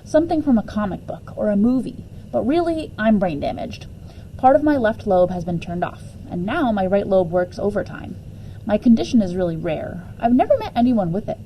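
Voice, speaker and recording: Woman, mellow soft-edged tone, expressive first-person storybook read-aloud, mid pitch, noticeable background noise. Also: normal speed, rough recording. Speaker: teacher reading a book aloud.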